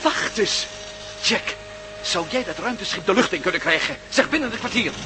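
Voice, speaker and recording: An actor playing a practical, urgent hero in a radio play. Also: male voice, high-pitched, expressive, normal speed. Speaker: Matt Meldon, hero of the series. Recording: noisy old radio play recording.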